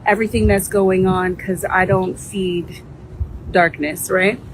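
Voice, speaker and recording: A woman's voice: medium pitch, steady tone, a little slow, background noise. A woman business founder giving an earnest, encouraging, faith-filled message. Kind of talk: heartfelt direct-to-camera message.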